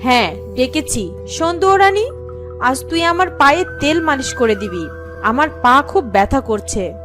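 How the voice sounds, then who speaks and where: Haughty fairy-tale queen, spoiled and self-satisfied, very lively high delivery. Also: normal speed, music in the background. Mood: bossy. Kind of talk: Bengali fairy-tale cartoon acting.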